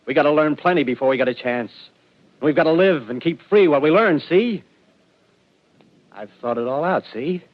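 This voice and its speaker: An actor playing a desperate male ex-militiaman who rambles feverishly about his survival scheme, swinging between hushed and loud. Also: medium pitch, close to an everyday voice. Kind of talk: 1930s radio drama acting. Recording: dull lo-fi vintage radio broadcast.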